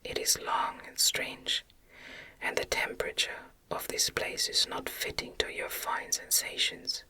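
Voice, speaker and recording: Female ASMR reader for gothic fiction: breathy whisper throughout, gentle and measured, very slow. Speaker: audiobook reader. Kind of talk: whispered ASMR novel reading.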